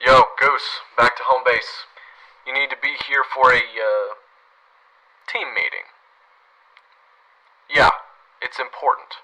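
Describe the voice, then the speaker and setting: A man's voice: mid-range voice, steady tone, takes it slowly, pauses often, pushed and bright, full character voice, rough recording. A man playing a curt, bossy young team member in an audio roleplay, speaking in a brusque, casual way. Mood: curt, impatient.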